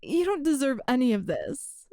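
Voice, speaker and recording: A woman acts out a flustered young female traveler from the future for an ASMR roleplay. Her voice sits high, with a mellow, gentle tone, recorded very dry. She is earnest and self-deprecating, hesitating as she apologizes and thanks the listener. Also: very animated, normal speed, no echo. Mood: apologetic, self-blaming. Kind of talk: ASMR roleplay, one-sided conversation with the listener.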